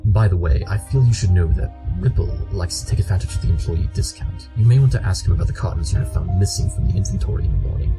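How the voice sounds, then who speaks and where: A man's voice: low-pitched, quick pace, few pauses, music in the background, poor audio. A man playing an aloof, blunt pony detective in an audio drama, speaking formally and with pedantic precision. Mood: confident, detached. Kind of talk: fan audio drama acting.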